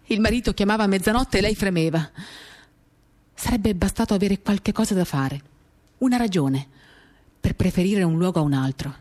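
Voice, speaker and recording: A woman giving a measured, expressive dramatic reading of a first-person novel, pausing as she tells it. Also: medium pitch. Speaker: reader of a literary work. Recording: acoustic guitar music behind the voice. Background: acoustic guitar accompaniment.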